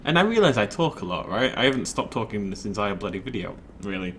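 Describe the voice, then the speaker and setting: A man's voice: on the low side, sing-song, fast, a bit bright, slight background noise. Chatty man narrating gameplay. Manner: chatty, rambling, self-deprecating. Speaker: let's play host. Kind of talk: casual gameplay commentary.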